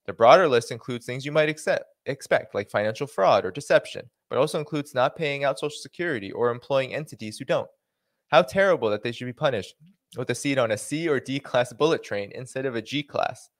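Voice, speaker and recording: Man, Boston accent, stream host, reads documents aloud, dry sarcasm, mid-pitched voice, dry close sound. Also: no echo. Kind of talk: livestream commentary reading a document aloud.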